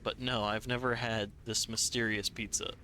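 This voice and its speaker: A man's voice: on the low side, flat delivery, normal speed, pushed and bright, slight background noise. A man streaming a factory-building game live, thinking aloud with playful asides to himself. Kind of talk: live gameplay commentary.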